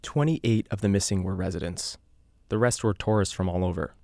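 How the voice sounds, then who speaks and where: A man playing an earnest island radio host, narrating an eerie mystery in a measured, conversational podcast style. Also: low-pitched, gravelly, a little mellow, no room sound, clean studio sound. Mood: earnest, uneasy. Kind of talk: scripted audio drama narration, confessional podcast.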